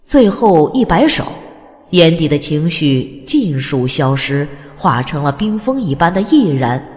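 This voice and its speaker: A woman's voice: low-pitched, very animated, a little slow, darkish tone. A woman reading scripted narration of a fantasy audiobook in a dramatic storytelling style. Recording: long hall reverb.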